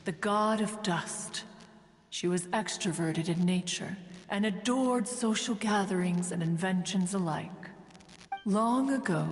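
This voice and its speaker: A woman's voice: medium pitch, natural voice. A woman voicing a haughty, ancient immortal bird spirit in a video game, speaking in a formal, archaic, imperious way. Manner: imperious, formal, archaic, refers to self as one. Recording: long hall-like reverb.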